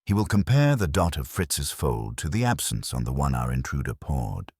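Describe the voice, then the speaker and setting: Man voicing an imperious, very deep-voiced detective genius. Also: very animated, moderate pace, darkish tone, husky, dry recording, clean studio sound, heavily acted. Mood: coolly confident. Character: imperious, eloquent male detective genius, coolly confident. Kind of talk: audiobook character dialogue.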